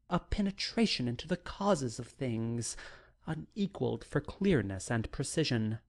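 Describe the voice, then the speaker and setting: A male narrator reads a classic novel in a medium-pitched voice. His pace is very slow and deliberate. The delivery is solemn, literary and dramatic. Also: darkish tone. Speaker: audiobook narrator.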